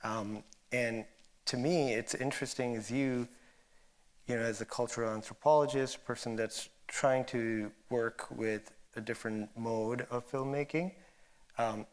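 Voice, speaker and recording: A male moderator speaks in an unscripted, academic register. He feels his way through a long question, pausing and rephrasing thoughtfully as he goes, at an average male pitch. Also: steady tone.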